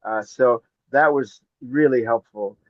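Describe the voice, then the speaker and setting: A man from northern Maine answers interview questions in a measured, hesitant way, pausing often. His voice sits at a middle pitch. It comes through dull, dry video-call audio. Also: no room sound. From northern Maine, United States. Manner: measured, hesitant, with many pauses. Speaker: poet guest.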